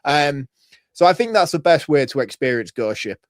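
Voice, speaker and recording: A man co-hosting a film podcast, opinionated and teasing, swearing casually in relaxed chat. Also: a little high, normal speed, dry recording, studio quality. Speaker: podcast co-host.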